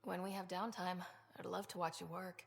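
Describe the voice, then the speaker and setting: A woman's voice: fairly low pitch, little pitch movement, moderate pace, gravelly, long reverb tail. An actress playing a confident, wry young female expedition leader in a space video game, talking in a casual, direct way. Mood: warm, playful. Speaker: starship commander.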